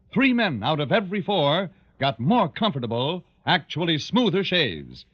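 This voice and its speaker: An American man reading a 1940s radio advertisement as a commercial announcer. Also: on the high side. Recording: dull old radio recording.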